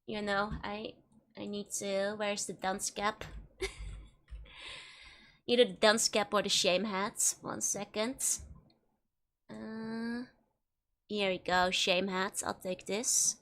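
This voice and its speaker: A woman's voice: mid-range voice, fairly even intonation, takes it slowly, long pauses, a bit bright. A woman with a Dutch accent chatting casually while streaming a game, giggling often between remarks. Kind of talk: casual live gameplay chat.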